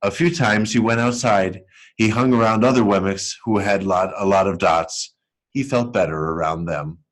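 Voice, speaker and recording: A man reading a picture book aloud to schoolchildren as an educator, telling it expressively and deliberately. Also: on the low side. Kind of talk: storybook read-aloud for children.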